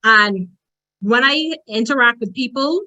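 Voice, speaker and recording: A woman's voice: on the high side, unhurried, sharp tone, muffled recording. A woman from the Bahamas with a strong Bahamian accent, giving a direct, emphatic motivational presentation as a conference speaker.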